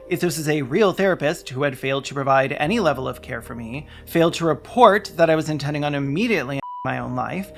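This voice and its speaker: A sarcastic, indignant video essayist who swears while delivering scripted investigative narration. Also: medium pitch.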